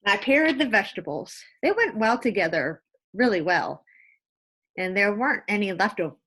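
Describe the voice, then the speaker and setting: Woman voicing a cheerful, literal-minded storybook housemaid, lifted pitch. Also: sing-song, moderate pace, a bit bright, poor audio. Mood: proud, earnest. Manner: folksy, earnest, exclaiming. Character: cheerful, literal-minded housemaid, puzzled but eager to please. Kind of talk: children's storybook read-aloud.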